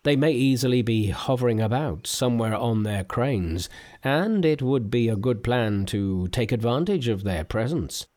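A man voicing a scheming male Taoist immortal who lays out his plan in a measured, self-satisfied way. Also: medium pitch, animated, darkish tone, lightly acted.

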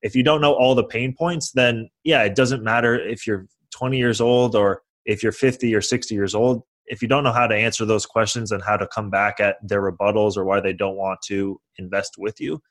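A man's voice: fairly low pitch, fairly even intonation, normal speed, hardly any pauses. A young man from California answering interview questions confidently, explaining how he got started and acting out the conversations he had. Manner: confident, explanatory, acts out quoted dialogue. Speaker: guest real estate investor.

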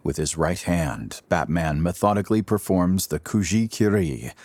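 Casting: male narrator, very low husky voice, theatrical scripted delivery for an adventure audio drama. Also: expressive, a little mellow, dry recording. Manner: theatrical, deliberate storytelling.

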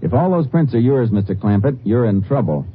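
An actor playing a calm, matter-of-fact lawman who also narrates, in a 1950s radio drama. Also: mid-range voice, a little fast, close to an everyday voice. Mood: steady. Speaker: Texas Ranger, narrator. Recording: phone-like old radio broadcast with clear hiss.

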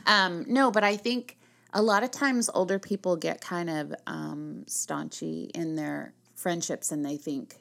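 Lady in her sixties, low voice, chatty podcast cohost, laughs a lot. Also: fairly even intonation, measured pace, creaky. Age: 60s. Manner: chatty, laughs often, warm encouraging talk. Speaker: podcast co-host. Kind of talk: casual chat.

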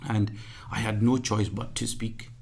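A man, being interviewed and speaking haltingly with many restarts; English is his third language. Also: fairly low pitch, level tone, gravelly, darkish tone. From Pakistan. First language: Punjabi or other regional language; Urdu second, English third. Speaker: guest. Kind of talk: casual interview.

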